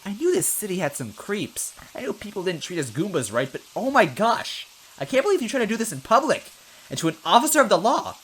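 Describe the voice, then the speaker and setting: A man puts on a small female monster cop for a comic story. She is tough, sassy and easily outraged. Her voice jumps high and swings widely in pitch as she scolds. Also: sing-song, a little fast, fairly bright tone, slightly rough, full character voice. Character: small, angry, sassy female Goomba police officer.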